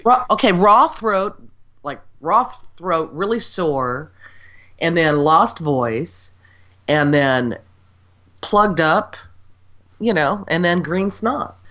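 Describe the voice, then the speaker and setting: A woman's voice: fairly low pitch, animated, takes it slowly, long pauses, fairly bright tone. A woman co-hosting a casual chat, stuffy and congested from a cold, talking in a blunt, teasing way and swearing freely. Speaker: podcast co-host and radio presenter.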